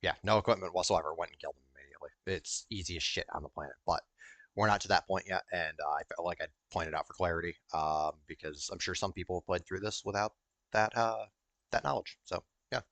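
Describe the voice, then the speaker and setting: Gaming commentator, male, low register, rambling and hesitant, swears freely, casual delivery. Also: quick pace, a bit bright, dry recording. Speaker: gaming YouTuber.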